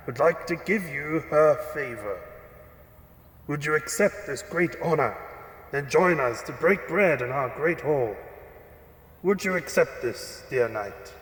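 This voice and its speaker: A man's voice: a little high, a bit bright. A man playing a haughty, bloodthirsty king and father in an audio drama, pompous and dismissive. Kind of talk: audio drama acting, grand proclamation. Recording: echoey hall, long reverb, noisy ambience.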